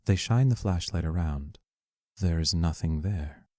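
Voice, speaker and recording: A woman's voice: extremely low pitch, a little slow, a little mellow, dry recording, studio quality. A woman reading the stage directions of an audio play as narrator.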